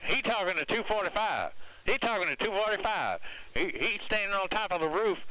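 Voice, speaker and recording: A man's voice: high voice, animated, brisk. An American man chatting casually as an operator on CB radio. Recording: muffled CB radio with very loud static.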